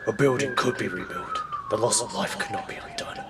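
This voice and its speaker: An actor playing a grim masked vigilante, speaking low, hushed and deliberate, in noir audio drama narration. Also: male voice, huge pitch swings, recorded from a distance. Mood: grim, brooding. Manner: slow, grave, deliberate. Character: brooding young masked male vigilante, grim and resolute.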